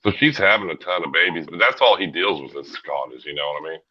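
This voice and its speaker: Low-voiced American man, dull audio. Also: animated, quick pace. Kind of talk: casual chat. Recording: slightly dull livestream audio.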